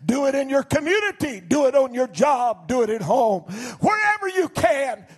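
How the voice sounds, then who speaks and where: An older man preaching a sermon as pastor from a church stage into a handheld mic, swinging between shouted declarations and low, quiet passages. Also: very animated, moderate pace, soft background music. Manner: fervent preaching, rising to shouts then dropping low.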